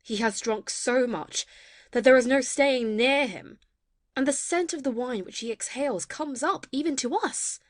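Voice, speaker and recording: A woman acts a sly maidservant in a classic comedy audio play. Her fairly high voice is mock-pitying and reproachful, recorded dry and close. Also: a little slow, dry recording. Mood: mock outrage. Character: sly maidservant loyally backing her mistress.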